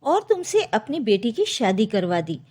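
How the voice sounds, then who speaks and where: A woman's voice: a little high, big pitch swings, a little slow, a little mellow. A woman voicing an indignant middle-aged father who scolds and defends his daughter in a story. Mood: outraged. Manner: scolding, outraged.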